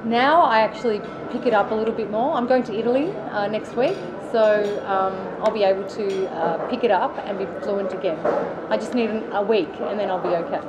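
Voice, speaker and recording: An Australian woman talks casually through interview answers, circling back with fillers and restarts. Her voice sits at an ordinary middle pitch. A loud steady noise runs under the whole recording. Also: brisk. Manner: chatty, many fillers and restarts. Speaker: actress interviewee.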